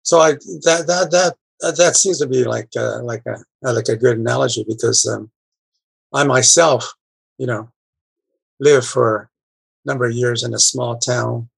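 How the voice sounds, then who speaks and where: A man talking in a casual group discussion, halting and full of fillers and restarts. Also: mid-range voice, fairly even intonation, vocal fry, slightly rough, no echo. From abroad. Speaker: discussion participant.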